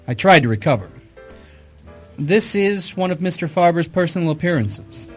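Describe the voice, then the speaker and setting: A man playing an observant hard-boiled private investigator who narrates his case in a wry, measured radio mystery style. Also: a little high, expressive, faint music behind. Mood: curious, measured. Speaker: narrator and detective. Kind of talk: radio mystery drama acting with first-person narration.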